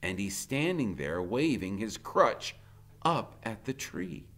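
A man's voice: on the low side, sing-song, a bit bright. An American man in his fifties, a teacher reading a first-person story aloud with dramatic, conversational emphasis. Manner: dramatic, conversational, drawn-out emphasis on key words. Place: indoor classroom in front of a whiteboard.

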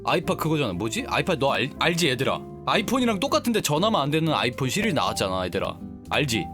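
A man's voice: medium pitch, wildly swooping pitch, fast talker. A man hosting a live game stream, playfully mixing casual chat with reading the story aloud. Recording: music playing behind the voice.

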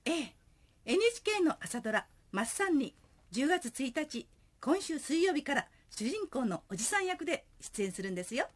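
A woman's voice: high-pitched, sing-song, moderate pace. A woman playing a polite, reserved daughter in a comic radio drama. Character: polite young daughter, repeatedly declining invitations.

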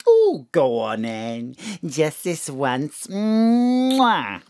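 Gushing, swooping Welsh mam. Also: female voice, low-pitched, huge pitch swings, very slow, darkish tone, no room sound, clean studio sound, heavily acted. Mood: flirty, gushing. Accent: South Welsh. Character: flirty, scatterbrained, melodramatic middle-aged Welsh mother. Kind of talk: comic audio drama acting.

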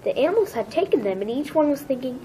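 A woman's voice: high-pitched, sing-song, fast, noisy background. A woman narrating a children's storybook, reading it aloud.

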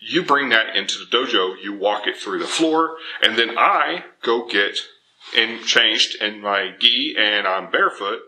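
A man in his thirties giving informal, animated, emphatic instruction to camera as a martial arts teacher. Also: lowish voice, slight background noise, low-quality recording. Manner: animated, emphatic, acts out imagined objections. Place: indoor dojo with bare walls and wood floor.

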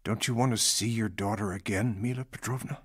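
An actor playing a gentle but persistent male patient in a radio drama, questioning earnestly and a little hesitantly. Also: on the low side, a little fast, slightly rough, no room sound, studio quality. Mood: concerned, earnest.

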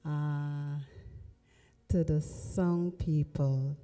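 A middle-aged woman, a minister and singer, chatting softly to a church congregation before she sings, on a handheld mic. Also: deep voice, steady tone, extremely slow. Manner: soft-spoken and chatty, says she has a big voice. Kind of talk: spoken introduction then worship singing. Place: church sanctuary with cross on stone wall. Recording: music faintly behind. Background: accompanying music.

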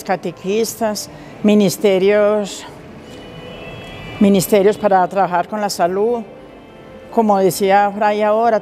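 A woman's voice: medium pitch, mellow. An older woman from Colombia with a Colombian Spanish accent, a lay minister of the parish, speaking plainly and earnestly to camera in a church. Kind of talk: testimony to camera. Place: inside a church, before the altar.

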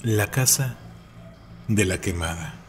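A man narrating scripted horror legends in a solemn, deliberate way with dramatic emphasis. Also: lowish voice, slight background noise. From Mexico.

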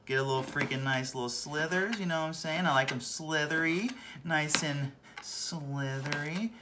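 A man's voice: a little high, moderate pace, background noise. A man in his thirties hosting a goofy home cooking demonstration, joking around and swearing as he walks through each step, recorded on a camera mic a few feet away in a small kitchen. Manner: jokey, swears, keeps saying "freaking". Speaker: home cooking show host. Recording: roomy kitchen echo.

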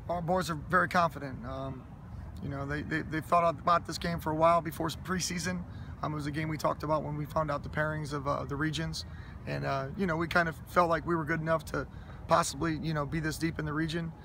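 A gravelly man answers interview questions outdoors, steady in tone and rather flat in melody. He sounds earnest and humble, starting over and padding his answers with fillers, while traffic hums behind him. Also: on the high side, fast talker. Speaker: high school football head coach. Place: outdoors by a school stadium. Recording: outdoor noise and passing traffic.

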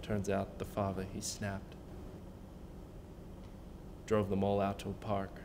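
A man's voice: sits low, completely flat delivery, slow pace, in a large hall, noisy background. A young man playing a somber young landlord who narrates in a subdued, reflective way. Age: young adult. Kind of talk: narration and dialogue.

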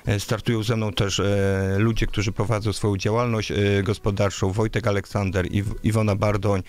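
Brief: man in his 30s, lowish voice, halting studio interview answers full of fillers. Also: flat delivery, darkish tone. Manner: hesitant, many filler sounds and restarts. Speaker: candidate for local office. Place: small radio studio. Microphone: studio microphone on a boom arm.

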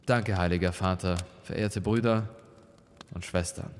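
A man's voice: low voice, fairly even intonation, measured pace, big-room sound, a little hiss or hum. A principled, defiant cardinal of mature years, acted by a male narrator in a horror audio story. He speaks firmly and solemnly, like an orator who will not back down. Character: defiant, principled cardinal of advanced middle age. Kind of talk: dramatic audio story dialogue.

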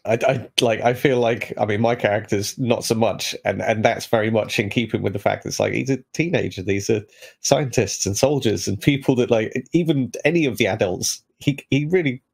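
A man's voice: lowish voice, gravelly, darkish tone, breathy edge. A man in casual chat as a player on a gaming podcast.

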